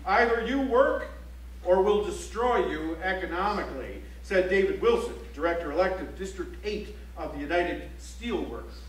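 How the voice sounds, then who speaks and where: A man's voice: high-pitched, expressive, normal speed. An actor playing an emphatic male reporter reciting strike news on stage, recorded from a distance in an echoey auditorium.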